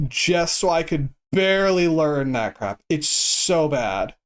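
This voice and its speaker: Monotone, stoic man streamer. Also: a little high, clean tone. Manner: swears freely. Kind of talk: casual chat while gaming.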